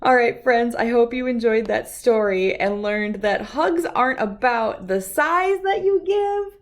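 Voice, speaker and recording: A woman's voice: a little high, lively intonation, unhurried. A woman hosting a children's picture book read-aloud, speaking directly to young listeners as friends.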